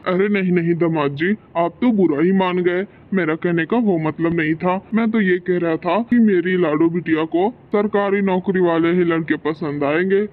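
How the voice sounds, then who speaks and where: A man's voice: sits high, moderate pace, few pauses, close to an everyday voice. Male actor as older cartoon bird patriarch, fatherly, practical, status-minded, dull lo-fi recording. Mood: placating. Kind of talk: Hindi cartoon story dialogue.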